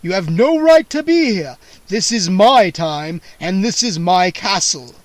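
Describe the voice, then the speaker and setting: A man voicing an old male vampire count in an audiobook, with a slow, drawn-out menacing delivery. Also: high voice, expressive, no room sound. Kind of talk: audiobook character voice.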